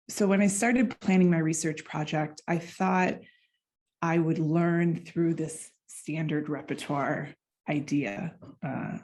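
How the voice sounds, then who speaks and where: American woman, young adult, low mellow voice, relaxed conversational academic talk. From United States. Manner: conversational, loosely phrased explaining. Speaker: doctoral researcher and clarinetist presenting. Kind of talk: academic conference presentation.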